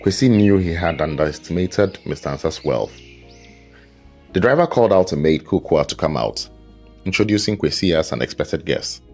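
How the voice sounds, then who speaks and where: A man narrating a scripted folktale as a storyteller, with a measured, dramatic delivery. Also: deep voice, animated, darkish tone. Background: background music with guitar.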